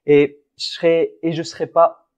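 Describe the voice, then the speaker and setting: A man's voice: a little high, little pitch movement, unhurried, darkish tone, highs cut off. A man co-hosting a casual motivational podcast, talking in an earnest, encouraging way with frequent restarts. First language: French. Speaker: podcast co-host.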